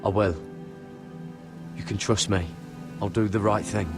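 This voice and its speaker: An actor playing a loyal young man, earnest and steady in his replies. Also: lowish voice, a little slow, natural voice. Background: music.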